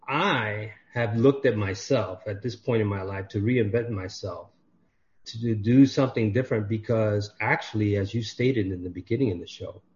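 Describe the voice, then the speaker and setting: A man on a podcast, chatting casually and acting out the dialogue in his stories. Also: fairly low pitch. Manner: animated storyteller, acts out dialogue. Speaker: podcast guest. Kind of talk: casual chat. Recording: dull lo-fi audio.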